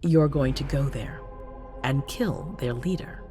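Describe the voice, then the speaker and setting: A woman playing a cold, calculating female vampire prince in a video game, with a regal, controlled and sometimes hushed delivery. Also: rumbling low, slow, faint music behind. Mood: imperious, menacing. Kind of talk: dramatic video game acting.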